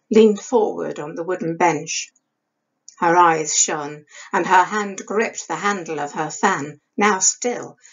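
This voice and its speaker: A woman in her sixties reading historical fiction aloud as the author, in an expressive audiobook style. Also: on the low side, slightly rough. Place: small home study, indoors. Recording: dull lo-fi webcam sound.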